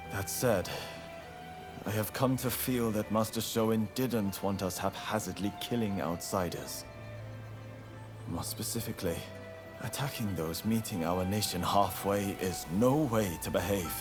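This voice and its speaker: An actor playing an honorable young samurai in a video game cutscene, speaking formally and solemnly in measured phrases. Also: male voice, fairly low pitch, lightly acted. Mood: earnest, resolute. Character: earnest, honorable young male samurai of Choshu. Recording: music behind the voice.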